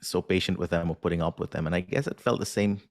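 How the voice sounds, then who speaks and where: A man's voice: sits low, quick pace, creaky. A man answering as an interview guest in a casual, unassuming, self-deprecating way, with hesitant phrasing.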